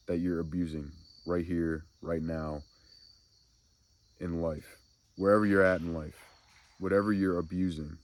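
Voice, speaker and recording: A man hosting a soft-spoken spiritual ASMR talk, congested and nasal from a cold, musing slowly and reflectively. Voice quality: deep, gravelly. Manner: slow, meandering reflective musing. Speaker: ASMR spiritual channel host.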